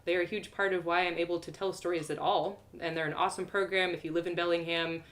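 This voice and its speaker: An American woman with a bright, forward voice hosts a live online storytelling session. She speaks casually and directly to her listeners over a video call. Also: lowish voice, steady tone, fast. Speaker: storyteller and host of online readings.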